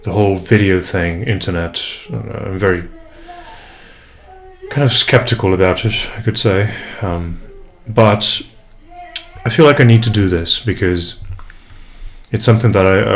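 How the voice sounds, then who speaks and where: Man, young, from Brazil, Portuguese first language, very low voice, halting and thoughtful, home desk mic. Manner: hesitant, many fillers and restarts, reflective. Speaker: English tutor and vlogger. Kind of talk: casual first vlog introduction. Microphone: USB desk mic, unprocessed.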